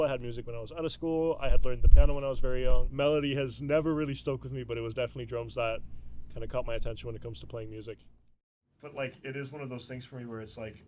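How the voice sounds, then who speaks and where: A man's voice: medium pitch, warm tone, slight background noise, low-quality recording. A young man from Romania, a drummer in a band, speaking earnestly and thoughtfully about making music. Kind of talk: casual interview.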